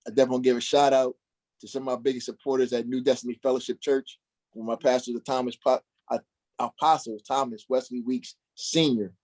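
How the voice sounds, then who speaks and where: Mid-pitched man chatting casually as a guest. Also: flat delivery, fast, a little mellow. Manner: conversational, slangy, uses "you know" often. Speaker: stage play cast member, interview guest. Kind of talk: casual chat.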